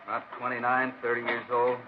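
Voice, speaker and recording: An actor playing a plainspoken, wary old-hand machinist in a 1950s radio drama, with a folksy, clipped delivery. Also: male voice, medium pitch, monotone, a little fast, natural voice. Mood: wary, musing. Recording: muffled, noisy old radio broadcast.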